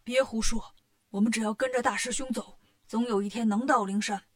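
A woman voicing a steady, loyal male disciple in a plain, earnest manner for a story. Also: a little high, fairly quick, pitched below the performer's own voice, close to an everyday voice. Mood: firm.

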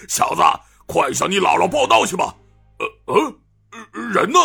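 A hulking, slow-witted axe fighter from a martial-arts audio story, shrieking high and tense with a gravelly edge. He bellows threats and boasts, swinging wildly in pitch, with faint music underneath. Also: huge pitch swings, bright, forceful tone, full character voice. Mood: gloating. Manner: bellowing, boastful, howls in pain. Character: dim-witted hulking male axe brute, hot-tempered.

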